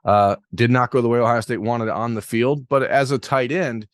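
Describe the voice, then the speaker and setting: A man's voice: medium pitch, fairly even intonation, moderate pace, dry recording. Man, podcast host, talkative, self-deprecating, dry close sound. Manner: talkative, self-deprecating, long rambling questions. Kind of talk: interview podcast.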